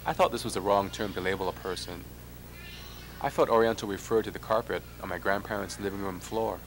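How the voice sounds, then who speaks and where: A man from Hawaii reading a scripted, reflective documentary narration in a measured way. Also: fairly low pitch. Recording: noisy 1990s amateur video soundtrack.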